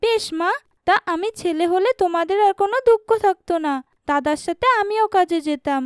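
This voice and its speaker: A woman's voice: extremely high pitch, a little fast, dry recording, clean studio sound. A woman voicing an outspoken, cheeky young village girl, a little sister in a cartoon. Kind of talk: cartoon dubbing.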